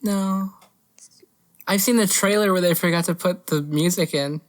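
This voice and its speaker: A woman's voice: lowish voice, moderate pace. A woman co-hosting a podcast, chatting casually about movie news in a playful, teasing way. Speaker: podcast co-host.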